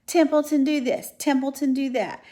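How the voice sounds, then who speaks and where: A woman's voice: medium pitch, a little slow, close to an everyday voice. A woman voicing a lazy, selfish male rat who grumbles and mocks sarcastically. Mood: sarcastic.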